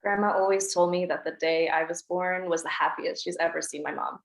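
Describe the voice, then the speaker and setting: A woman's voice: on the low side, fairly even intonation, fairly quick, vocal fry. A woman reading reflective voice-over narration at a table read. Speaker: narrator as the grown daughter. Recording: echoey room.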